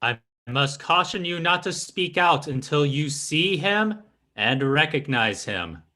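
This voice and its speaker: A man playing a commanding spiritualist medium in an old-time radio drama, theatrical and deliberate. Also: a little high, sing-song, sharp tone, exaggerated voice. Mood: solemn, summoning. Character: fake spiritualist medium leading a seance, solemn and commanding.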